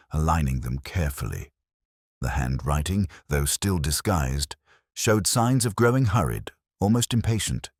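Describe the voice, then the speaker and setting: Male narrator, very low register with lively intonation, measured literary delivery for mystery fiction. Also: dry recording, clean studio sound. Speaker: audiobook narrator. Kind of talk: scripted narration of a mystery story.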